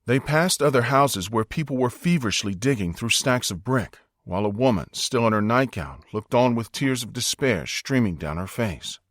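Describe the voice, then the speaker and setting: A male audiobook narrator with an American accent reads dramatic scripted prose. His delivery is measured and suspenseful, with lively pitch movement, and the sound is dry and close. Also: dry recording.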